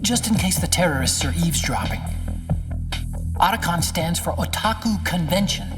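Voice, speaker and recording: An anxious young nerdy engineer played by a male actor speaks earnestly over clear music. His pitch is animated, naive and nervous in game-drama style. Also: moderate pace, distant mic, close to an everyday voice. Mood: earnest, explaining. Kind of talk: dramatic video game acting.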